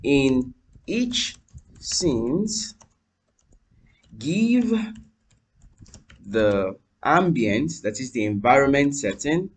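A young man hosting a screen-recorded software tutorial at his home computer, talking viewers through each step and spelling out what he types. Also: mid-range voice, drawn-out words, long pauses, husky. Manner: lively, addresses viewers as "you guys", spells out letters while typing. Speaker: tutorial host. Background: keyboard typing.

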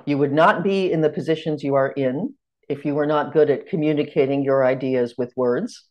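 A middle-aged American woman, a stage actor, welcoming participants and leading an online teaching session over a video call in a measured, welcoming way. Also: low voice, dull audio. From United States. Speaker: teaching workshop facilitator. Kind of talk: online lecture.

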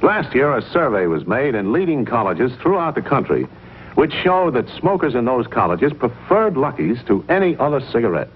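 A middle-aged American man delivering a 1950s commercial sales pitch as an announcer. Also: very animated, moderate pace, slight background noise. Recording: tinny, muffled 1950s broadcast soundtrack.

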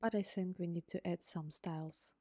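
A woman whose first language is Ukrainian, calmly narrating a scripted coding tutorial step by step as host. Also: lowish voice, normal speed, no room sound, clean studio sound. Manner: calm, step-by-step explaining. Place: voice-over on screen recording.

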